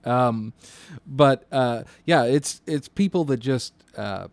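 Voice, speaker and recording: A man in casual podcast conversation as a co-host and dispatcher, informal and halting as he thinks aloud. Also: mid-range voice, no echo.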